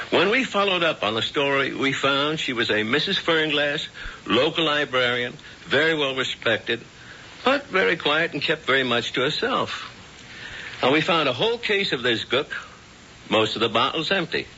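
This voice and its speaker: An actor playing a blunt, businesslike police lieutenant in a 1970s radio drama. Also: male voice, fairly high pitch, expressive, normal speed, pushed and bright, a little hiss or hum. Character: tough male police lieutenant. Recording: dull old radio broadcast.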